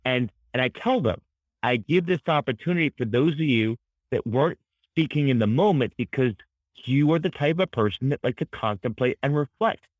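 A high-energy male expert guest with a mid-range voice and a dry, close sound. He explains concepts conversationally, articulate and emphatic, like an educator in an interview. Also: lively intonation, moderate pace, no echo, rough recording. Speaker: podcast guest, author and psychology educator.

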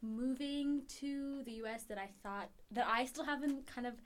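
A woman's voice: sits high, moderate pace. A woman in her early twenties from Ethiopia, reflecting conversationally on her own life as a podcast guest. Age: early 20s. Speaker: podcast guest, university student.

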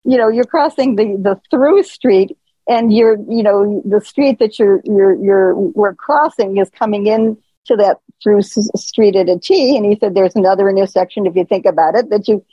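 A woman's voice: medium pitch, moderate pace, slightly rough, no echo. Rambling caller woman on a muffled line. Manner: rambling storyteller, chuckling, many fillers. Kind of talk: casual call-in Q&A.

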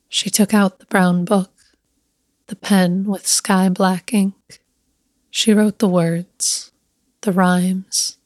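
Even-toned woman narrating a story. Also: mid-range voice, dry recording, clean studio sound. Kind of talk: scripted literary story narration.

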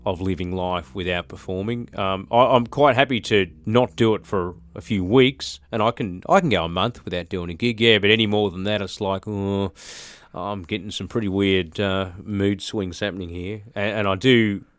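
An Australian man in his forties, a musician chatting as an interview guest, halting with fillers and restarts. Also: lowish voice, dry recording. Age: 40s. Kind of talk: casual interview talk.

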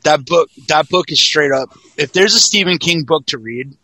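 Casual podcast host, male, 40, forceful bright delivery, blunt and sweary, close dry sound. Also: fairly high pitch, normal speed, breathy edge, no echo, rough recording. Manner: emphatic, profane. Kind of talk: casual film discussion.

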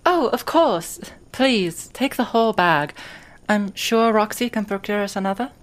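A woman's voice: mid-range voice, lively intonation, measured pace, natural voice. An actress playing a polite, generous woman with proper phrasing in an audio drama. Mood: courteous, kind. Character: polite, generous woman friend offering marshmallows. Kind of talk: fandub audio drama dialogue.

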